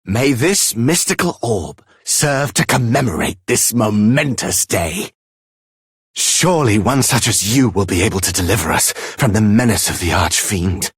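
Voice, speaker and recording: Solemn game dignitary, super raspy, grand formal speech, lots of swing in it. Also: male voice, lowish voice, lively intonation, a little slow, no room sound, studio quality, heavily acted. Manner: formal, declamatory. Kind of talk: video game character acting.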